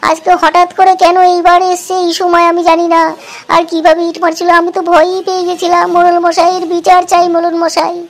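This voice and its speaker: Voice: very high voice, completely flat delivery, fast talker. A performer voicing a crafty, demanding village housewife in a comedy cartoon, wheedling and self-righteous. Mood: aggrieved. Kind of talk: amateur comedy cartoon dub.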